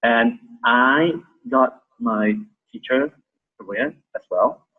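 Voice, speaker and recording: A man in his thirties with a Malaysian accent, sharing his story as a volunteer in a halting way with many restarts. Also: medium pitch, phone-quality sound. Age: 30s. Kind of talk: casual testimony sharing.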